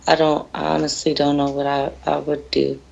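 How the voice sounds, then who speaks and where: Grieving mother, interviewee. Deep female voice with fry, halting plain speech. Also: completely flat delivery, darkish tone, lo-fi sound. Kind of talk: emotional television interview.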